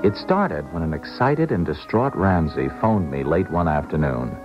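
An actor playing a sober, skeptical university proctor in a 1970s radio drama, measured and matter-of-fact. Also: male voice, a bass voice, expressive, music in the background. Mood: sober, emphatic. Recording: muffled 1970s radio recording.

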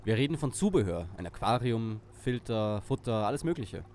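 A man's voice: fairly low pitch, big pitch swings, normal speed, darkish tone, a little hiss or hum. A man playing a self-assured, pompous teenage boy who leads a detective gang in an audio drama. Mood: confident.

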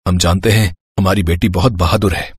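A man voicing a gentle, protective middle-aged father in a cartoon story, soothing in manner. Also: sits low, fairly even intonation, fairly quick, slightly rough, no room sound, studio quality, pitched below the performer's own voice. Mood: consoling.

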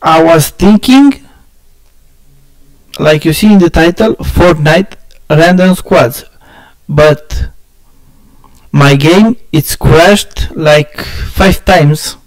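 A man from Romania with a Romanian accent chatting casually with viewers on a game stream, halting and searching for words, often laughing at himself. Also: on the high side, low-quality recording. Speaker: gaming streamer.

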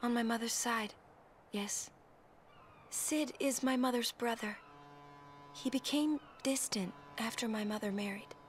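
Soft, high-voiced gentle young woman, acted. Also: a little slow, pauses often, slight background noise, lightly acted. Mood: shy, reflective. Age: young adult. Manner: soft, hesitant, careful. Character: gentle, reserved, sincere young woman summoner. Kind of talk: video game voice acting.

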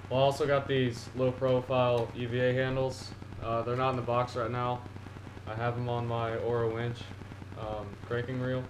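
A teenage boy showing off fishing gear in a casual haul video, rambling with many ums and restarts, seated on a couch in front of a camera on the floor. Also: medium pitch. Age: teens. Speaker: fishing vlogger. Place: small indoor room, seated on a couch. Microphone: camera on the floor in front of him. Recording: echoey room with a steady noise floor.

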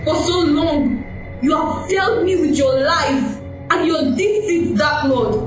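An actress playing an earnest young woman who speaks to a prince and a dark ruler in an amateur audio drama. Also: high voice, a little slow, dull audio, faint music behind. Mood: defiant. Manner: earnest, pleading. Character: frightened young woman who grows defiant. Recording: very echoey room.

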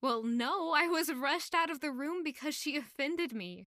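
A woman's voice: high voice, expressive, measured pace, sharp tone, no echo, studio quality, full character voice. A woman playing an earnest, modest young working woman who can be playfully bold, in an intimate audio drama. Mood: candid, puzzled. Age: young adult.

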